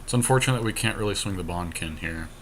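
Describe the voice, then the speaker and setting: A man's voice: deep voice, fast, heavy background noise. A man co-hosting a podcast, giving casual play-by-play of a card game with dry, teasing banter. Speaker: podcast co-host.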